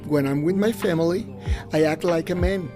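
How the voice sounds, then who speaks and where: A man's voice: on the high side, normal speed, over music, close to an everyday voice. A man voicing a candid older hairdresser and drag performer in a documentary voice-over translation. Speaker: English voice-over for an interviewee.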